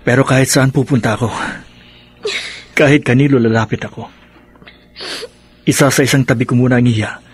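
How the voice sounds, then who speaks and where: Radio drama role for a male actor: poor Filipino father, anxious, earnest, sorrowful, average pitch. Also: steady tone, normal speed, pauses often, breathy edge, lightly acted. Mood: worried, sorrowful. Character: worried, devoted, poor father.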